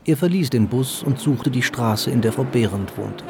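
A man's voice: mid-range voice, expressive, moderate pace, music in the background. A man narrating a literary radio drama from a script.

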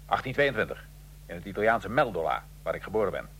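A man playing a condemned Italian revolutionary in a radio drama, recounting his life in a measured, dry, ironic way. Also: deep voice, sing-song, a bit bright, slight background noise. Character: Italian male revolutionary prisoner, condemned, dryly matter-of-fact. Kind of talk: radio drama acting, interview-style storytelling.

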